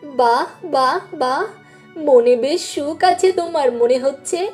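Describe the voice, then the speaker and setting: A woman playing an affectionate village woman in a cartoon story, gently teasing as she talks. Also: sits high, slow pace, faint music behind. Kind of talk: Bengali cartoon dialogue.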